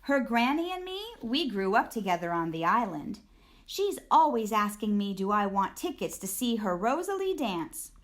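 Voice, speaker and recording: A woman's voice: medium pitch, big pitch swings, normal speed, close to an everyday voice. A woman voicing an older, affectionate grandmother in a storybook, with a folksy, reassuring and encouraging manner. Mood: proud, fond.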